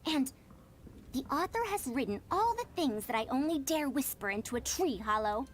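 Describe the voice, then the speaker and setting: A woman's voice: sits high, expressive, normal speed. A woman voicing a formal, curious woman scholar and teacher in an anime-style video game. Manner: formal, inquisitive. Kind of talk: scripted anime game dialogue.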